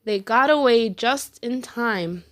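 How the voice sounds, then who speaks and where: Female children's storybook reader: expressive, slow, higher-pitched, deliberate delivery. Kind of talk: scripted children's read-aloud narration.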